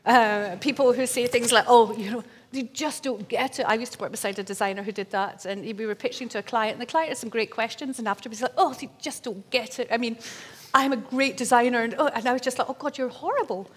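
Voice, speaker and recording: A woman's voice: on the high side, fast, breathy edge. A middle-aged woman giving a conference talk and answering questions on a large stage, telling stories with lots of fillers. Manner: lively storytelling with laughs and fillers. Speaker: conference speaker. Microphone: stage microphone at lectern.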